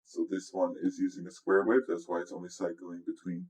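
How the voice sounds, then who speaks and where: A man with a low-pitched voice gives a casual software walkthrough. He speaks as a music producer explaining his process, informal and instructive. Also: monotone, brisk. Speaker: music producer giving a tutorial. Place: indoors, voice-over on a screen recording.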